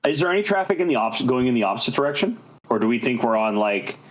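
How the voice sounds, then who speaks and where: A man with an average-pitched voice takes part in a tabletop game as a player. He talks casually, in the manner of informal game chat. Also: animated, fast talker, low-quality recording.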